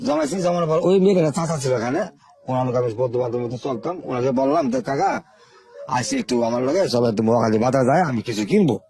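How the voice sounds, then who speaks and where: An older man from Bangladesh, a guest telling his life story in a rambling, halting, repetitive way. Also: mid-range voice, very animated, creaky, dark tone. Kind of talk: informal spoken life story.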